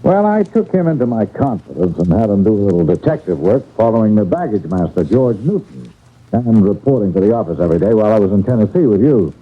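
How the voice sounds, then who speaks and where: An actor playing a shrewd, genial but probing detective agency chief in a folksy, deliberate old-time radio drama style. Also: male voice, fairly low pitch, very animated, husky. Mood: brisk, confiding. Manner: folksy, deliberate, knowingly insinuating. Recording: thin, phone-like old radio broadcast.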